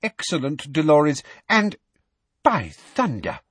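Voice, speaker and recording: A male narrator acts out an excitable, self-important middle-aged minister for an audiobook. His pitch sits high and leaps about as he blusters and gloats. The voice is a little husky and very dry. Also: takes it slowly, no echo, heavily acted. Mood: delighted. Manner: blustering, gleeful, sputtering.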